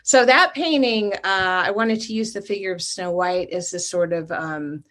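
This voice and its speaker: A woman's voice: medium pitch, moderate pace, fairly bright tone. A middle-aged woman, a guest artist, talking thoughtfully and at length about her work over a video call. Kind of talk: casual interview talk.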